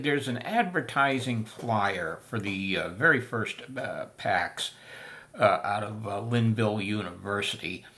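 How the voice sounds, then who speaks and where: An older man talking through the history of a piece of camping gear in a folksy, unhurried way, with many filler sounds. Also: lowish voice, sharp tone. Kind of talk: casual spoken history lesson. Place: small room indoors.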